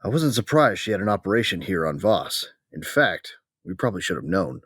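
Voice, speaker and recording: A man's voice: low-pitched, big pitch swings, a little fast, a bit bright, husky, dry recording, clean studio sound, exaggerated voice. An actor playing a battle-hungry, protective soldier who narrates his own story in a sci-fi audio drama. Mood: grim, dry.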